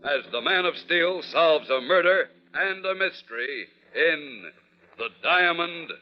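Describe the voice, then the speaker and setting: A man's voice: on the high side, lively intonation, takes it slowly. A man narrating a 1940s radio adventure in a dramatic, formal announcer style. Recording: muffled vintage radio recording with music.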